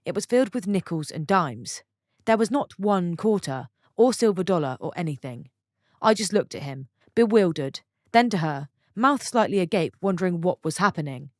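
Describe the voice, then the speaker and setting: Lively low-voiced woman storyteller. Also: normal speed, dry recording, studio quality. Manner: expressive, chatty asides to viewers. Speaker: story channel narrator. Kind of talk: reading online stories aloud with own comments.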